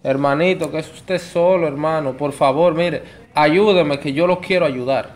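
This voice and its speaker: High-pitched young Dominican man, pleading. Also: moderate pace. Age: 20s. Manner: pleading, insistent. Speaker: prank caller. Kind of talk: prank phone call, posing as a show promoter.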